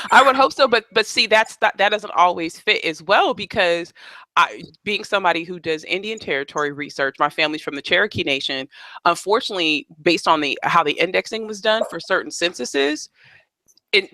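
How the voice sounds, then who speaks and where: A man's voice: high voice, sharp tone, no room sound. A man hosting a live panel discussion, talking conversationally with frequent restarts and fillers. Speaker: host.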